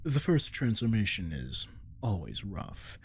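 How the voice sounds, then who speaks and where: Casting: male actor as a manipulative captive werewolf for ASMR drama, deep and unhurried, coaxing and possessive, with menace under the tease. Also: sing-song, slight background noise. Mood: ominous, taunting. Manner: slow, coaxing, teasing, often dropping to whisper. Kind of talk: ASMR audio roleplay acting. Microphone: close microphone.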